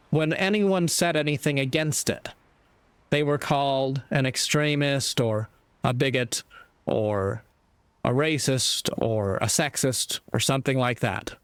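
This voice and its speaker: Slow, clear-voiced American man. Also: fairly high pitch, fairly bright tone, dry recording. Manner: measured, deliberate, with short phrases and pauses. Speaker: commentator. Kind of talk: reflective opinion monologue on politics.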